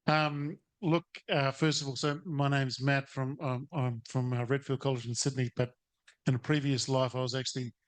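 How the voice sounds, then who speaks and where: A man's voice: medium pitch, little pitch movement, normal speed. A man, a teacher asking a long, hesitant question at a conference, full of fillers and restarts. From New Zealand. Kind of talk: audience question at a seminar. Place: seated in a conference or webinar room.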